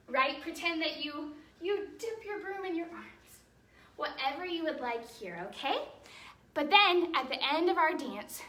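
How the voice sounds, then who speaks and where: A woman teaching a ballet lesson to young children in an encouraging, animated way, explaining things simply and checking in with questions. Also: high-pitched. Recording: roomy echo, distant mic, faint noise.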